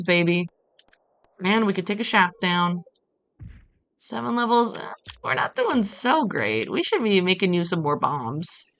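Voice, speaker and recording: A woman from Brazil chatting casually as she streams a game, telling stories in an animated way with playful asides. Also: mid-range voice. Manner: animated storytelling with acted-out quotes and playful asides. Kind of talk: casual chat while playing a game on a livestream.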